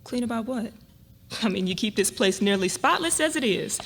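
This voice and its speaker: A woman's voice: fairly high pitch, animated, moderate pace, fairly bright tone, slight background noise. A woman playing an affectionate middle-aged wife and mother, chatty and easygoing, in a dramatic reading. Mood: curious, affectionate.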